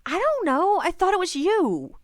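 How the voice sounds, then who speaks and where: A woman's voice: very high-pitched, big pitch swings, normal speed, no room sound, studio quality. A woman playing a jumpy, annoyed young friend in an audio play. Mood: annoyed, uneasy.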